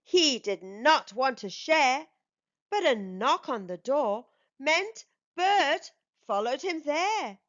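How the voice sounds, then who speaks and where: A woman reading a children's storybook aloud as a storytime host, with measured, expressive delivery. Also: high voice, dull audio.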